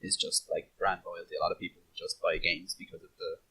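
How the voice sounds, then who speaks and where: Guy w a deep husky voice chatting on a podcast, kinda halting, repeats himself. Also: flat delivery, sharp tone, poor audio. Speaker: podcast panelist. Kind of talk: casual podcast discussion.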